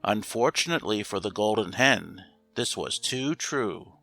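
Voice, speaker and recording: A man reads a fairy tale in a measured, expressive storytelling style. His voice is bright with a noticeable fry, set in a roomy, echoing space with light music behind it. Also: on the low side. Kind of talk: scripted fairy-tale narration.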